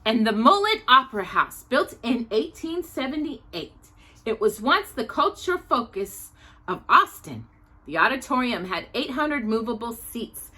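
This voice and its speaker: A woman's voice: fairly high pitch, big pitch swings, measured pace, pushed and bright, slightly rough, low-quality recording. An American woman from Texas reading a nonfiction children's book aloud as a storytime host, with chatty, informal asides. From Texas, United States. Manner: chatty asides, stumbles over longer words.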